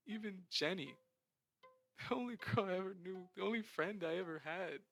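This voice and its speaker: A man's voice: sits high, normal speed, fairly bright tone, faint music behind, voice pushed up. A man voicing a despairing, bullied teenage boy talking through sobs. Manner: sobbing, broken.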